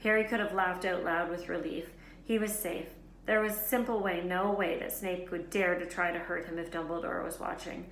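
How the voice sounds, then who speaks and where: A woman teacher reading a children's novel aloud in a lively, expressive way, fixing small slips as she goes. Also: on the low side, normal speed. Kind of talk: storybook read-aloud narration. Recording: roomy echo, faint background hiss.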